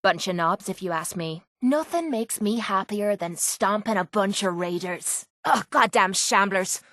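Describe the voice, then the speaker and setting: A tough young Irishwoman who fights for hire as a video game companion. She is blunt, cocky and sarcastic, throwing out slangy remarks in a mid-pitched voice. The sound is close and dry. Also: expressive, measured pace, a bit bright, dry recording.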